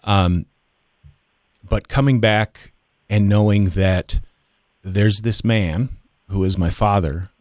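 A man in his fifties telling a personal story as a podcast guest in a reflective, halting way. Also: on the low side, darkish tone, dry recording, studio quality. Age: 50s.

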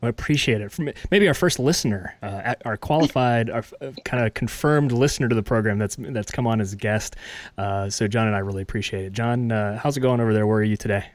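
Low-voiced male podcast host. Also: quick pace, no room sound. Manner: casual, hesitant with many fillers. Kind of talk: podcast interview.

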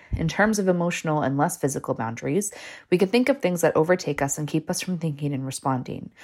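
A woman with a stuffed-up cold, hosting a training podcast and reading a scripted talk in a calm, measured way, slowing to stress key terms. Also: deep voice. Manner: calm, measured explanation with slow, deliberate emphasis on key terms.

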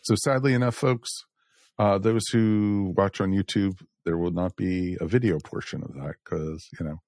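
A man's voice: deep voice, steady tone, normal speed, darkish tone, no echo, studio quality. A man hosting a podcast, chatting casually and addressing listeners in a folksy way. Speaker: podcast host.

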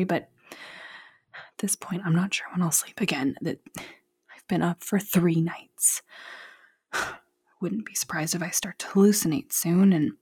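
A woman playing a sleepless, anxious and bitter young woman, talking haltingly and sardonically into an audio diary in a found-footage drama. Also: on the low side, a little mellow, husky. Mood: exhausted, flat. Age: young adult. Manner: sardonic, weary, halting, sweary, sighing.

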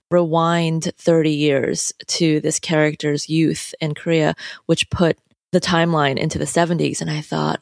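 Flat, gravelly-voiced novelist in their thirties. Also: medium pitch, no echo, clean studio sound. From United States. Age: 30s. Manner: thoughtful, loose, many fillers and asides. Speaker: novelist being interviewed. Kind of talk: reflective interview talk.

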